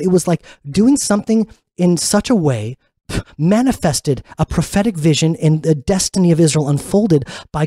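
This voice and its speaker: Guy doing an earnest inspirational faith talk, chatty, soft mellow tone, dry sound. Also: a little high, no echo. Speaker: religious teacher and fellowship leader. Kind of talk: informal inspirational talk with personal stories.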